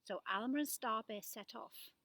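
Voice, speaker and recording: A woman reading a children's storybook aloud as a storyteller, with expressive, dramatic delivery. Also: a little high, normal speed, no room sound.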